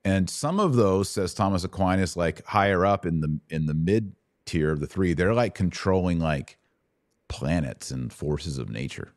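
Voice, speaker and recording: Male podcast host, low pitch, dry sound, colloquial explainer of religious questions. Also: animated, normal speed, no room sound. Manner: explanatory, colloquial, emphatic repetition. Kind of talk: informal Bible question-and-answer teaching.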